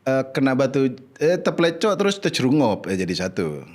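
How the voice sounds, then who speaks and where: A man's voice: mid-range voice, sing-song, fairly quick. Man with a Madurese accent, chatting. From Madura, Indonesia. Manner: explains words eagerly, laughs often. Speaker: guest comedian. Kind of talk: casual chat.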